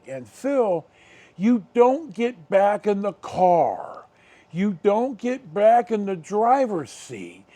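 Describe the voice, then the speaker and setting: A middle-aged American man hosting a casual storytime, rambling along in halting, unhurried, drawn-out phrases. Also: high voice, mellow. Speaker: video host.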